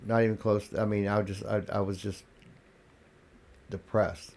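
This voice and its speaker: A congested man with a gravelly voice, talking candidly as a podcast guest, restarting his sentences as he tells his story. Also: lowish voice, level tone, measured pace, darkish tone. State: congested, coughing. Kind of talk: casual interview talk.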